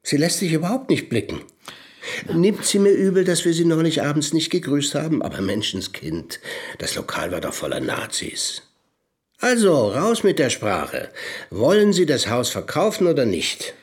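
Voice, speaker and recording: An actor playing a stingy, jovially pushy house buyer in a radio drama, chatty and mock-aggrieved. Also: male voice, fairly high pitch, sing-song, moderate pace. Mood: jovial, wheedling.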